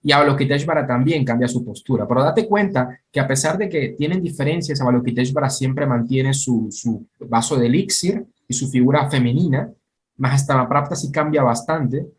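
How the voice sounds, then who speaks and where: A man's voice: mid-range voice, fairly quick. A man in a mid-range voice explains things patiently, step by step. He often stops to check that his listener is following. He sounds like a teacher talking with a student over a video call. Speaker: Buddhist teacher.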